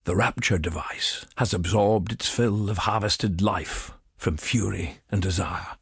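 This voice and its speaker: An actor playing a grave, obsessed imperial visionary in a video game, speaking with solemn grandeur in a husky, breathy voice. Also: male voice, sits low, fairly bright tone, no room sound, clean studio sound, heavily acted. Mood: solemn fervor. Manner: solemn, grandiose, theatrical, deliberate phrasing. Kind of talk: scripted video game monologue.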